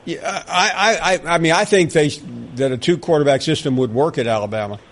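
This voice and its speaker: A middle-aged man with a Southern US accent, hosting a sports talk radio show and bantering with callers. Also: on the high side. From American South. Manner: quick, animated, jokey banter with callers. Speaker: radio host.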